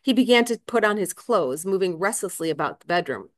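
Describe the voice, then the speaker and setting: A woman narrates literary fiction as a reader. Her voice sits at a medium pitch, and the sound is very dry, with no room around it. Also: lively intonation, fairly quick, no room sound. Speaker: reader, author.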